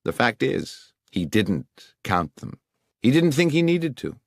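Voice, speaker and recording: A man voicing a brisk, coolly confident Victorian detective with an English accent, precise and authoritative, in an audiobook. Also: medium pitch, sing-song, gravelly, no room sound, clean studio sound, exaggerated voice. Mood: explaining, confident. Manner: precise, authoritative, methodical questioning. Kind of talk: audiobook character dialogue.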